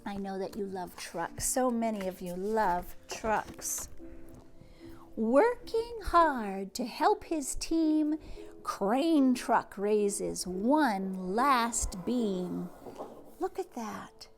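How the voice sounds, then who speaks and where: A woman reading a children's picture book aloud, expressively, with drawn-out words and hushed asides, speaking directly to young listeners. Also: mid-range voice, expressive, soft background music. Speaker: teacher or read-aloud host reading to children.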